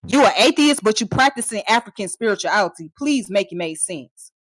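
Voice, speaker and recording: Woman streamer, mid-range pitch, heated mocking tirade, dry close recording. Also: expressive, fairly quick, breathy edge, dry recording. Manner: heated, profane and mocking, with scornful laughs; confrontational, addressing viewers directly. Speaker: online streamer. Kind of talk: ranting live commentary.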